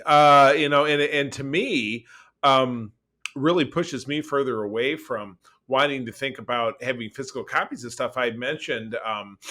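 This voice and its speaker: Man, bright forceful voice, podcast co-host, casual rambling chat, mid-to-normal pitch. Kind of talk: casual discussion of comics and media.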